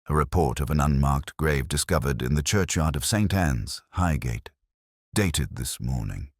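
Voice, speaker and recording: A man playing an austere, commanding detective in an audiobook story, speaking in measured, clipped pronouncements. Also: rumbling low, expressive, no echo, clean studio sound, heavily acted. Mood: brisk, deductive.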